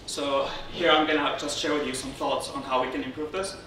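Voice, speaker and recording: A man from Syria giving an informal technical conference talk as a speaker, often halting and rephrasing as he goes. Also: medium pitch, fairly even intonation, a crowd in the background. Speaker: conference speaker, software consultant. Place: indoor darkened conference room, on stage beside a lectern. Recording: roomy, distant, noisy room sound.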